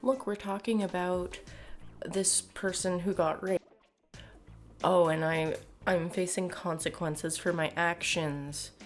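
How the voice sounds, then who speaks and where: A young woman with a Canadian accent talks casually to camera in a fairly low voice. She is emphatic and exasperated, with a sardonic edge, as she shares her opinions. Soft music plays behind her. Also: steady tone, slow. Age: young adult. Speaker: video commentator. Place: small home room, indoors.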